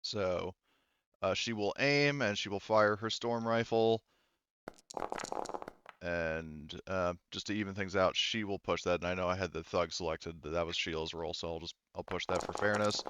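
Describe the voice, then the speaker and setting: A man's voice: low voice, normal speed, fairly bright tone, smooth, no echo, lo-fi sound. Male game master, clean resonant tone, casual and profane, close dry sound. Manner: profane, informal. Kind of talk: casual tabletop game narration, online session.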